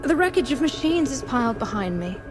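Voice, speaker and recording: A ruthless female Sith warrior from a video game. She is haughty and commanding, with dramatic, sweeping pitch and grand battle-hungry phrasing. Clear music plays behind her. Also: very animated, brisk. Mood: menacing, proud. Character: ruthless, bloodthirsty female dark-side Sith warrior, member of ruling council. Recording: game audio under loud music. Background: orchestral game music.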